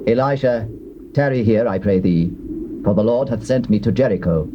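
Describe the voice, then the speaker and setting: An actor as grave British prophet, muffled tape. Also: male voice, fairly low pitch, normal speed, natural voice. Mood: grave, solemn. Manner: grave, weighty, declamatory. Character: stern, grave elder male prophet, authoritative master. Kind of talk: dramatized scripture acting, archaic English. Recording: dull, noisy old cassette tape.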